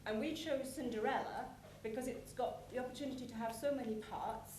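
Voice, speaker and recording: A woman's voice: on the high side, steady tone, a little fast, a little mellow. Woman presenting in an echoey, noisy hall. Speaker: conference presenter. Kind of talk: prepared plenary presentation. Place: large conference hall with an audience. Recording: echoey conference hall, clear background noise.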